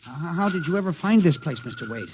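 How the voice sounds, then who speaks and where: A man's voice: fairly high pitch, expressive, quick pace, close to an everyday voice. An actor playing a nervous, hesitant man in a vintage radio drama, stammering and deferential. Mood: uneasy. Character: anxious, hesitant man, deferential to his older ally. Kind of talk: 1950s radio drama acting. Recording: faintly noisy vintage radio recording.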